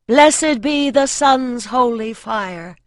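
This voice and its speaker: A woman's voice: on the high side, lively intonation, takes it slowly, no echo, voice pushed up. Woman acting a solemn priestess, dry and ceremonial. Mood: exalted invocation. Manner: ceremonial, incantatory, formal. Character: solemn, devout priestess of an ancient land. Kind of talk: fantasy audiobook character voice.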